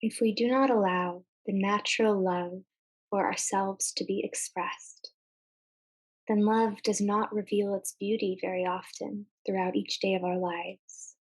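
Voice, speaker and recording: Female meditation guide, mid-range voice, very slow and gentle delivery with long pauses. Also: medium pitch, fairly even intonation. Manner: gentle, unhurried, with long pauses between short phrases.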